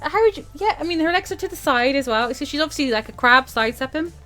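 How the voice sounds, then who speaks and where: A woman with a fairly high, very animated voice chats through a game as a let's-play host. She is casual and quick to drop joking asides, over faint background music. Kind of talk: casual game commentary and read-aloud.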